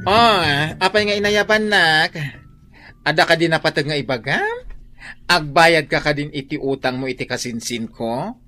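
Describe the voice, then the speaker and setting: Lowish-voiced blunt Filipina mother. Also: lively intonation, normal speed, bright, forceful tone, soft background music. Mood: puzzled, insistent. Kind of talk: radio drama acting.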